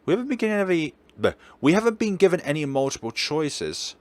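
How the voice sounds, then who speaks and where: A man hosting a let's play, chatting through a visual novel in an animated way. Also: fairly high pitch, brisk.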